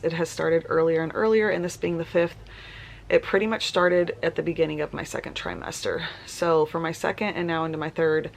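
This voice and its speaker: A woman's voice: fairly low pitch, vocal fry, slight background noise. A woman, chatting casually in a vlog and sounding congested and nasal, laughing often. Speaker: vlogger. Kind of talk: casual pregnancy vlog chat.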